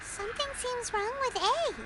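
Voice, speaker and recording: A woman's voice: extremely high pitch, normal speed, a bit bright. A woman voicing a tiny, childlike fairy companion in a video game, chirpy, eager and exclamatory. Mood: excitable, curious. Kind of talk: cartoonish video game acting.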